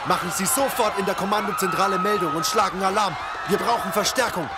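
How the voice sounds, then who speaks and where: Commanding soldier played by a man over loud noise. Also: high voice, quick pace, a loud crowd, lightly acted. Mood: urgent, shouting. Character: commanding male soldier, protective and decisive under attack. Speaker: voice actor. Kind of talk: audio drama acting.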